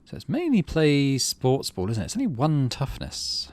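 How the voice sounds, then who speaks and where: A man's voice: medium pitch, huge pitch swings, normal speed, a little mellow. A man casually commentating on a game, thinking aloud in murmured asides and reading story text out. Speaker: gaming channel host.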